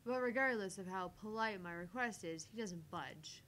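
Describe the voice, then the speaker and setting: A woman's voice: medium pitch, moderate pace, a bit bright, a little hiss or hum, lightly acted. A woman playing an irritated, sardonic professional heroine, reading visual novel lines with clipped politeness. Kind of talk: reading visual novel dialogue and inner thoughts.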